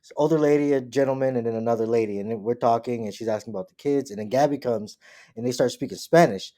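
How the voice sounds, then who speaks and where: A man with a mid-pitched voice co-hosting a casual podcast. He tells stories with animated bursts and laughter, swears playfully, and drops to a quieter register when he turns reflective. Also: fast talker. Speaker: podcast co-host. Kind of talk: casual storytelling chat.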